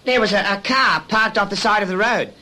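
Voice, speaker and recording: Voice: medium pitch, a little fast, sharp tone. A performer playing an eager, slightly hesitant small country boy in a radio drama.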